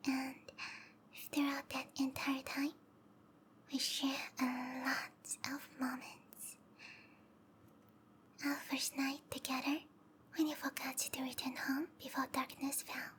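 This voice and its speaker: A woman voicing a sweet, obsessive snake-woman lover in a whispered ASMR roleplay, speaking softly and tenderly with intimate, lingering phrasing. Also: high-pitched, little pitch movement, a little slow, slight background noise. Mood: adoring. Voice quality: soft, breathy whisper.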